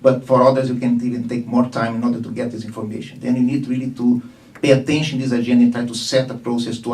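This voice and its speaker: Dark-toned man presenting from a distance. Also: mid-range voice. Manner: measured, halting phrasing. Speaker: conference presenter. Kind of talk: formal technical presentation. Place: conference meeting room. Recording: distant room microphone.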